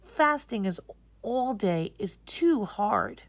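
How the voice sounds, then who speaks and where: A woman's voice: mid-range voice, expressive, slow, pitched above the performer's own voice. A woman voicing an earnest, anxious young boy in a storybook, with a hesitant manner. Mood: reluctant.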